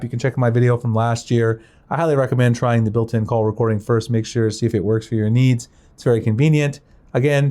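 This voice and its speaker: Mellow man giving tech walkthrough. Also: medium pitch. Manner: informal, conversational, explanatory. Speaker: tech tutorial presenter. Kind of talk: casual step-by-step phone demonstration.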